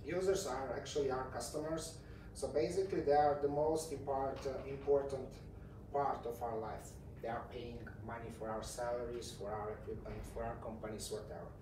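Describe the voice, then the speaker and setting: A man's voice: mid-range voice, fairly even intonation, moderate pace. Croatian-accented man presenting in a roomy space. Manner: informal, repeats words, non-native phrasing. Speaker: conference speaker, tech company CEO. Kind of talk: conference presentation. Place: conference talk room. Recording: roomy, distant mic with faint noise.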